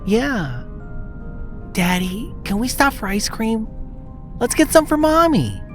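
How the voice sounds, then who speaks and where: A man's voice: sits high, wildly swooping pitch, slow pace, soft background music, pitched above the performer's own voice, full character voice. A man voicing a small, childlike boy apparition in a horror story, sweet with a sinister edge. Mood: innocent, sweet. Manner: innocent pleading turning coldly taunting.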